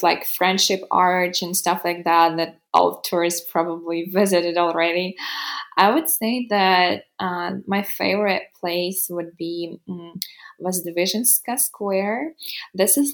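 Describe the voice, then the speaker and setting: A woman's voice: fairly low pitch, gravelly. A young woman with a Ukrainian accent, a native Ukrainian speaker, answering interview questions hesitantly with many fillers. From western Ukraine. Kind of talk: casual chat.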